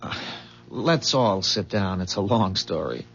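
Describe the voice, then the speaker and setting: An actor playing an earnest, secretive inventor from a bleak future in a 1970s radio drama, explaining things with hesitant, measured pauses. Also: male voice, low-pitched, expressive, creaky, fairly bright tone, husky, heavily acted. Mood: revealing. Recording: muffled 1970s radio broadcast.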